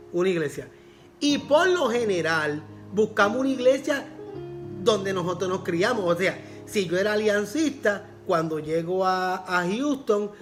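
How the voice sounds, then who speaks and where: Puerto Rican pastor preaching earnestly. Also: male voice, high voice. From Puerto Rico. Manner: repeats phrases for emphasis, earnest preaching. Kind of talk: sermon.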